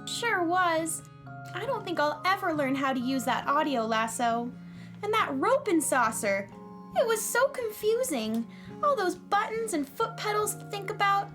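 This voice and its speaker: A woman's voice: very high-pitched, very animated, measured pace, music in the background, exaggerated voice. A woman playing an eager, earnest, easily discouraged little space cowgirl in a children's radio drama. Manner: wide-eyed, earnest, exclaiming.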